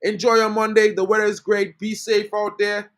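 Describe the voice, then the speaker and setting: A man's voice: sits high, level tone, moderate pace, a bit bright. A man from Trinidad, hosting a casual live-stream football fan update, chatty and greeting his viewers. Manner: chatty, greets chat viewers, says bro a lot. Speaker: football fan channel host.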